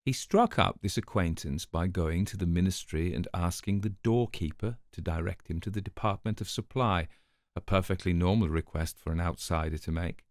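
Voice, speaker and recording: An urbane older storyteller in a radio drama. His voice is low and gravelly, recorded close and dry. He speaks with precise, ironic relish, like a sardonic man of the world. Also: moderate pace, dry recording. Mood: dry amusement.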